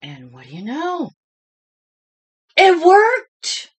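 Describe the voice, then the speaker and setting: A woman reading a children's story aloud in a dramatic, expressive style, speaking to young listeners as she goes. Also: very high voice, very slow. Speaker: storybook reader.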